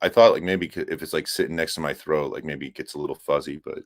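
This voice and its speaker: A man calling in to a livestream on a laptop's built-in microphone, speaking haltingly with lots of fillers. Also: extremely low pitch, fairly even intonation. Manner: halting, filler-heavy, self-conscious. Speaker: regular caller and panelist. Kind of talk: casual call-in chat.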